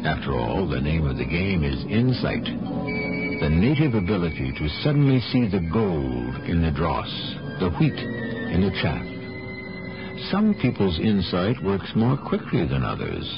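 Gravelly, very deep male mystery host. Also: huge pitch swings. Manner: ominous, deliberate, philosophical. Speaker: host and narrator of a mystery series. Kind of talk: theatrical 1970s radio mystery narration. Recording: dull old radio broadcast. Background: prominent music.

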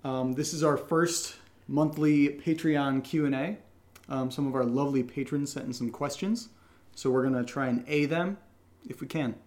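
A man's voice: mid-range voice, normal speed, darkish tone. A man co-hosting a podcast, chatting casually in a jokey, playful way. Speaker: podcast host and voice actor. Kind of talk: casual chat.

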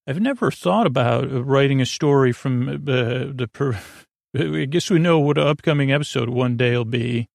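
A man hosting a sleep podcast with a meandering, halting monologue, often stammering and drifting off on tangents. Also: mid-range voice, creaky, darkish tone, no room sound, clean studio sound. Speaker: podcast host.

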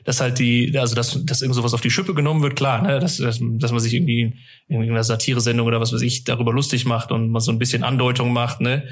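A man's voice: medium pitch, flat delivery, quick pace. A man chatting casually as a podcast guest, telling anecdotes in a colloquial, rambling and often amused way. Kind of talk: casual interview chat, telling personal anecdotes.

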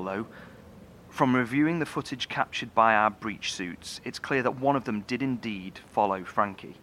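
An actor playing a grave, cautious lead engineer of a survivor bunker in a horror audio drama, explaining carefully in a halting, solemn way. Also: male voice, lowish voice, bright, forceful tone, a little hiss or hum.

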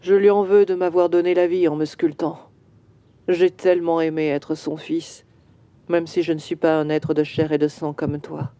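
A woman voicing a grieving male bronze statue in a children's audio story, slow and wistful. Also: low-pitched, level tone, warm tone, no room sound, natural voice. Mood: sorrowful.

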